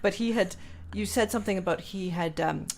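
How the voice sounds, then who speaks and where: A woman's voice: medium pitch, fairly quick, a little hiss or hum. A woman hosting a musician interview, asking long thoughtful questions with many small fillers. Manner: thoughtful, rambling questions with many "you know"s. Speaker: podcast host, violinist.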